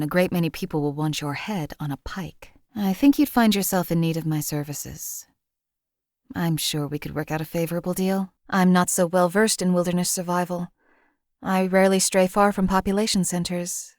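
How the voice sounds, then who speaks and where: A woman's voice: lowish voice, normal speed, dry recording, clean studio sound, lightly acted. A woman voicing a cold, detached assassin. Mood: calm. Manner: calm, detached, clipped delivery. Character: cold, calculating female assassin companion. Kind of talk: game voice lines.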